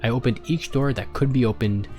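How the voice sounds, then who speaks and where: A man's voice: medium pitch, brisk, a little mellow, soft background music. A man narrating a story as he reads fan fiction aloud, sometimes stumbling and rereading a line.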